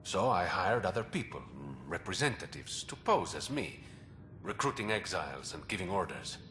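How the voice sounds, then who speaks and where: An actor playing a secretive man who brokers information in a port, confiding and smooth. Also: sits low, big pitch swings, normal speed, fairly bright tone, faint music behind. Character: shadowy male underworld information broker.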